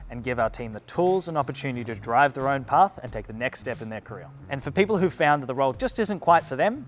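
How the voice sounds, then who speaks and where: Mid-pitched Australian man presenting to camera. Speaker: company presenter. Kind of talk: scripted recruitment video narration.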